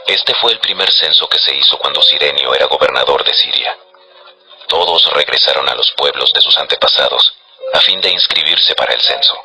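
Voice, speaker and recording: A man narrating scripture as a solemn, measured storyteller for a dramatized audio production. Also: very deep voice, bright, forceful tone, poor audio.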